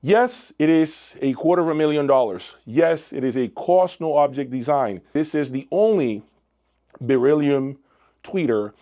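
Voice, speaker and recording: Guy hosting a product review channel, deliberate and emphatic, pauses for effect. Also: a little high, animated, mellow. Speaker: host of an audio review channel.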